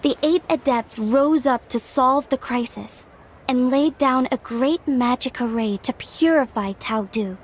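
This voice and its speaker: A woman's voice: high-pitched, unhurried, a little hiss or hum. A woman playing a knowledgeable young woman in a video game, explaining legends in an articulate way. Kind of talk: video game dialogue acting. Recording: music behind the voice.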